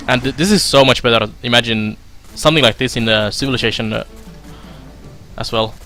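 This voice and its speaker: A young man from Finland giving casual let's play commentary, halting with fillers as he reads game text aloud. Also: medium pitch, sharp tone, soft background music. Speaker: streamer.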